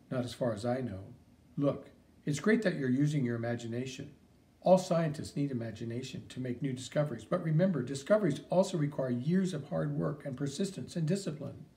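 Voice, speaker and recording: A man's voice: medium pitch, moderate pace, gravelly. A man doing a patient, lecturing science teacher. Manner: calm, gently lecturing. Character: patient middle school chemistry teacher, lecturing. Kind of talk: audiobook character reading.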